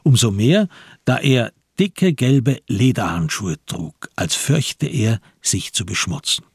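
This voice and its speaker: Very husky, gravelly male narrator. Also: medium pitch, animated, takes it slowly, no room sound, clean studio sound. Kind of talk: scripted literary narration of a crime radio play.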